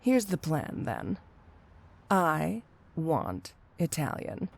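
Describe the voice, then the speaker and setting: A woman's voice: low-pitched, very animated, drawn-out words, creaky, background noise, exaggerated voice. A woman playing a flirty, sly supervillain cat burglar in an intimate ASMR roleplay, with a coy, drawling, playfully condescending delivery.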